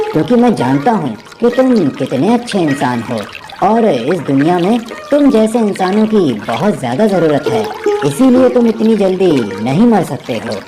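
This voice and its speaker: A woman voicing a kindly male water god, solemn and reassuring, in an animated moral story. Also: on the low side.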